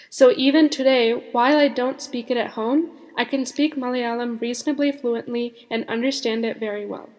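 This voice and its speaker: A teenage girl co-hosting a youth podcast, reading her part in a measured, explanatory way. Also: on the high side, darkish tone. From born in India, raised in the United States. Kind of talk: read-aloud podcast script. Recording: long reverb on the voice.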